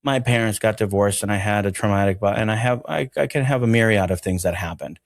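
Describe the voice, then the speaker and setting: A man's voice: lowish voice, fast, dry recording, clean studio sound. A man from Venezuela talking earnestly as an interview guest, reflective and emphatic, repeating phrases to drive them home. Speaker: interview guest, mental healing educator.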